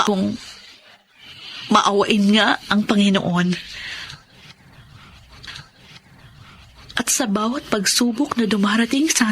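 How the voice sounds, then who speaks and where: A woman acting in a Filipino radio drama as a forgiving, emotional elder sister and grieving wife, speaking earnestly and deliberately. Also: medium pitch, a little hiss or hum, lightly acted. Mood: relieved, devout.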